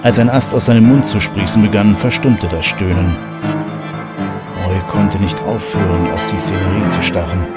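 A man's voice: deep voice, steady tone, moderate pace. A man delivering scripted narration for a horror audio drama. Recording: loud music under the voice.

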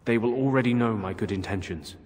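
Game role: a man playing an earnest, devoted follower, low register, gentle and subdued, long reverb. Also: fairly quick, close to an everyday voice. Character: earnest, loyal, gentle male companion. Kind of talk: dramatic game dialogue. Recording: long echoing hall reverb.

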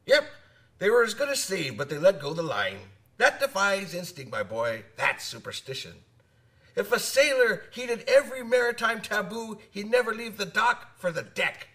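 Gravelly, animated old sailor. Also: male voice, sits high, moderate pace, recorded from a distance, exaggerated voice. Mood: hearty. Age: older. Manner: nautical old-salt talk, calls listener laddie. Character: talkative old sailor, hearty teller of sea tales. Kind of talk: radio drama acting.

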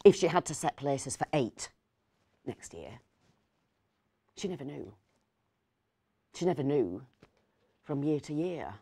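A woman's voice: a bass voice, big pitch swings, takes it slowly, pauses often, warm tone, breathy edge, heavily acted. A middle-aged woman, playing a fussy, kindly, rambling Christmas dinner host in a storytelling monologue. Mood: musing, fretting. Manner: hesitant, digressive, self-correcting. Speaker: actor reading a story. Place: indoors, seated in an armchair.

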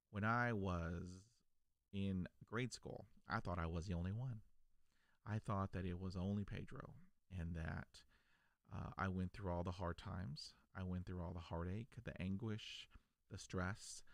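A man who stutters, repeating first sounds, speaks earnestly to his viewers from a small home studio. His voice sits on the low side and comes through a close podcast microphone with a very dry, dead sound. He stays encouraging throughout. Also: vocal fry, dry recording. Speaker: vlogger, podcast host. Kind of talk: personal talk to camera. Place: home studio room, indoors. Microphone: large podcast mic on a boom arm.

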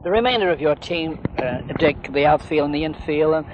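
Male radio interviewer, 1970 sports broadcast, formal and courteous, slightly high voice, muffled noisy tape. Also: animated, a little fast. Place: on location at a spring training base. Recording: noisy, dull vintage 1970 radio recording.

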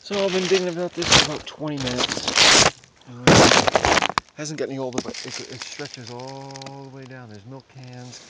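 Very noisy outdoor camera audio carries a middle-aged man as he works at a dig in the woods. His pitch is average for a man. He talks casually in short, clipped fragments, commenting as he goes, at changing distances from the camera. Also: moderate pace. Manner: terse, fragmented remarks while physically working. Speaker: amateur bottle digger. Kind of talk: casual running commentary while digging. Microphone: handheld or propped camera at varying distance.

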